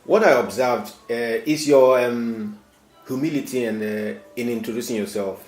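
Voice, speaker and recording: A male host puts questions to his guest in formal, somewhat flowery phrasing. His voice has a middle pitch. It rings in an echoey room. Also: normal speed, darkish tone, faint music behind. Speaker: interviewer, host.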